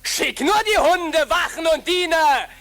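A man's voice: very high voice, expressive, a little slow, pushed and bright, full character voice. An actor playing a brash, bossy male beggar musician in a fairy-tale radio play. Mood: cheeky. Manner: cheeky, commanding, mocking.

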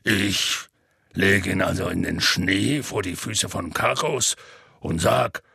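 A man voicing a huge, gruff, good-natured male half-giant who tells a rambling, folksy story in a deep gravelly rumble. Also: slow pace, bright, forceful tone, no echo, studio quality, exaggerated voice. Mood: telling tale. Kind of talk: audiobook character voice.

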